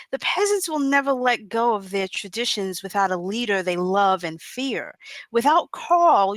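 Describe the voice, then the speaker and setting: Lady doing a stern fairy godmother, very expressive, kinda high, theatrical and a bit threatening, dry sound. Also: dry recording. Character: shrewd, stern female fairy godmother running a kingdom. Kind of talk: comedic screenplay table read.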